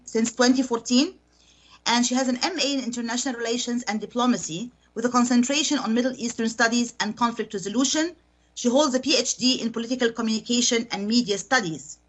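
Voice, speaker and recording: A woman radio host with a fairly high voice speaks in a polished, formal way. She reads a prepared introduction and then puts careful questions to her guest in a studio. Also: fairly bright tone. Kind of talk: formal radio interview hosting.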